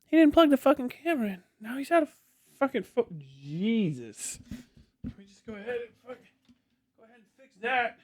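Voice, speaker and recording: A man's voice: extremely high pitch, sing-song, a little fast, no room sound. A man in his late thirties hosting a casual livestream, rambling and swearing freely with a self-deprecating manner. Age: late 30s. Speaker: livestream host.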